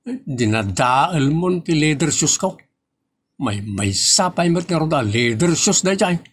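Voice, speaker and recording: A man acting the part of a fussy husband in a homemade comedy skit, complaining and teasing in quick bursts. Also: on the high side, lively intonation, breathy edge. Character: fussy husband, protective of his leather shoes.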